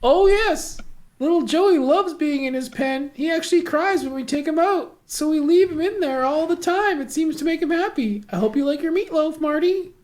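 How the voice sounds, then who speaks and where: A woman's voice: sits high, expressive, moderate pace, darkish tone. A woman voicing a chatty, insistent 1950s mother of forty in a table read, chirpy and fussy. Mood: cheerful, insistent. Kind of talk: amateur table read of a film script.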